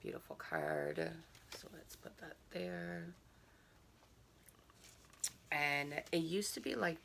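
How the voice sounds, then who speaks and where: Hoarse, gravelly woman unboxing slowly. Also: deep voice, a bit bright, a little hiss or hum. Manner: halting, many fillers. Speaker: subscription box reviewer. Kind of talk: casual unboxing chat, reading a card aloud.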